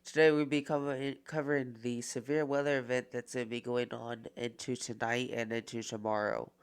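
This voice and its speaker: Stuffy-nosed man with a gravelly voice. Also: medium pitch, flat delivery. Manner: reads place lists haltingly, stumbles on names. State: stuffed up with a cold. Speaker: weather forecaster host. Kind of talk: casual weather forecast video.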